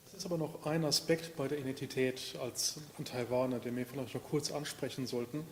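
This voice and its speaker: A man on a panel explaining a topic in a measured way, with hesitation fillers. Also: medium pitch, steady tone. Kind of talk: panel discussion. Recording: echoey hall with long reverb, faint noise.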